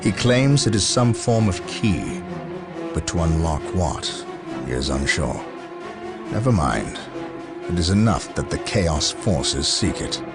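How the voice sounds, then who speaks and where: Deep, stern warrior commander. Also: male voice, very animated, slow pace, pauses often, over loud music, exaggerated voice. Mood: briefing, resolute. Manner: commanding, clipped, earnest. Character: stern, righteous male armored warrior commander. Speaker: video game voice actor. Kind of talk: dramatic military game acting.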